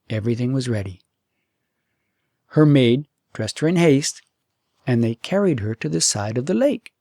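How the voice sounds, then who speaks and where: Male storyteller with a faintly creaky voice. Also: medium pitch, mellow, no room sound, clean studio sound. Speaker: audiobook storyteller and host. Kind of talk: scripted storytelling narration of a fairy tale.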